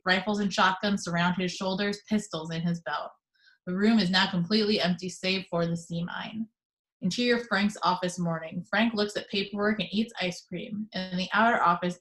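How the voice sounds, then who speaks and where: A woman with a fairly low voice reads out the stage directions in a steady, measured way. She is heard over a video call during an at-home table read. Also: poor audio. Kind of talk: reading action description aloud.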